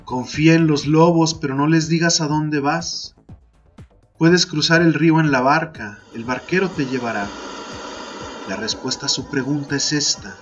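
A man narrating a literary fantasy poem as a podcast host, in a measured, deliberate storytelling style. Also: a little high, highs cut off. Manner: measured, deliberate, second-person storytelling delivery. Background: music.

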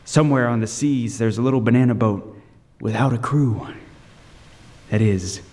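A man playing a haunted lighthouse keeper, telling his ordeal as radio drama narration with grim, suspenseful, deliberate delivery. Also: medium pitch, a little mellow, natural voice. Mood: uneasy. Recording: echoing hall reverb. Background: music.

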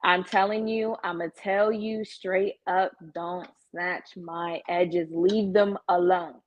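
A woman's voice: fairly low pitch, steady tone, slow, dull audio. A woman with an American accent in casual conversation, talking in a frank, sassy, slangy way. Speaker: salon client, guest. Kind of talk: casual chat.

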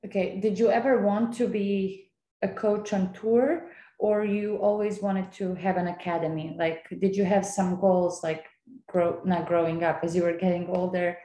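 A woman's voice: lowish voice, steady tone, normal speed, dark tone. A woman hosting a podcast, asking casual, stumbling questions and laughing often. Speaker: podcast host, interviewer. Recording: echoey room.

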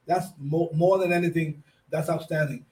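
A man's voice: on the high side, fairly even intonation, normal speed, a little mellow. A man with a Puerto Rican accent hosting a casual radio talk show, effusive, repeating his phrases and mixing English with Spanish. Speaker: radio host. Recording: clear background noise.

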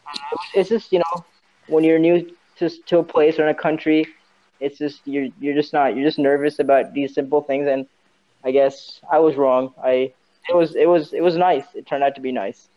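A man's voice: on the high side, flat delivery, normal speed, muffled recording. A young man from Saudi Arabia chatting casually as a podcast guest, informal, joking and rambling loosely. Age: young adult. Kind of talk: casual chat.

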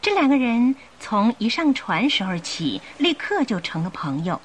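Animated female radio drama narrator. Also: a little high, normal speed, slight background noise. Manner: theatrical storytelling. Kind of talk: dramatic scripted story narration.

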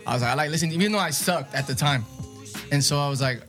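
A man's voice: fairly high pitch, very fast, fairly bright tone, soft background music. A young man from California's Imperial Valley, a rapper guest, talking laid-back with lots of filler and cussing. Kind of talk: casual interview.

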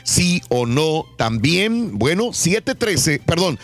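Male radio talk host, animated delivery, soft music bed underneath. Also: on the high side, slow pace. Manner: chatty, asks listeners questions, reads phone number. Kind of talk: casual radio talk show chat.

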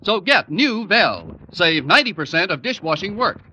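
An American man pitches in an old radio commercial. His voice is high and very forceful, with punchy swings in pitch, on a dull lo-fi recording. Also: very animated, moderate pace, husky. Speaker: commercial announcer.

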